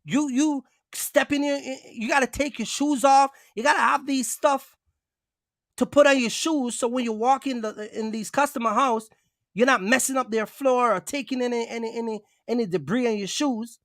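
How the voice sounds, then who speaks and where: A man's voice: very high-pitched, normal speed, fairly bright tone, no room sound, studio quality. A man talking casually and emphatically as a trucking vlogger, repeating himself and addressing listeners directly in streetwise language. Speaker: box truck owner-operator and vlogger. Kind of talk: casual, streetwise storytelling talk.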